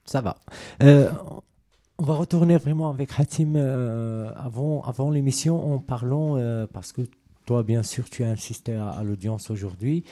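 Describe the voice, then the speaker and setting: A man's voice: medium pitch, normal speed, warm tone, no echo. A man hosting a radio talk show, questioning a guest with loose phrasing and frequent fillers. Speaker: radio host. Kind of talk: radio interview.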